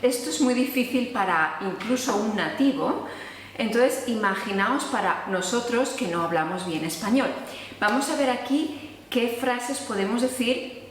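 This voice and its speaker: A woman's voice: mid-range voice, slight background noise. A woman from Spain with a Spanish accent, teaching a casual online lesson and acting out sample dialogues. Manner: explanatory, acts out sample dialogues. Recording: echoey room with long reverb.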